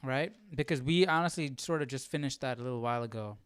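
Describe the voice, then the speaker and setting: A man's voice: medium pitch, fast talker, a bit bright, smooth, dry recording. A man hosting a Bible study livestream, reading aloud and commenting casually, often questioning and checking for agreement.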